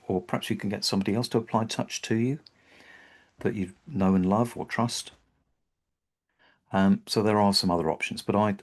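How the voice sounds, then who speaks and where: A British man with a very low voice leads an online session. He talks in a gentle, reassuring way and often pauses on ums or repeats a word before carrying on. He sounds like he is on a video call from a computer mic. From Britain. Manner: gentle, reassuring, with frequent fillers and repeated words. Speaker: practitioner leading a webinar. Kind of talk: online webinar teaching.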